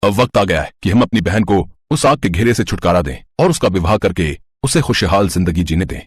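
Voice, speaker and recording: A man's voice: low voice, expressive, brisk, husky, no room sound, studio quality. A man doing earnest young prince brothers. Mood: eager. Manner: earnest, determined.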